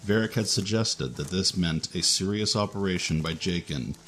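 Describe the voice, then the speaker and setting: A man's voice: low-pitched, moderate pace, fairly bright tone, slight background noise. A man reading scripted narration for a fantasy adventure story.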